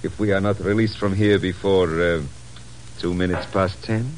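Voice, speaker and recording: An actor playing a suave, sly foreign gentleman with courtly, mock-innocent speech in a 1950s radio drama. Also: low-pitched, fairly even intonation, moderate pace, soft background music, close to an everyday voice, rough recording. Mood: feigned innocence.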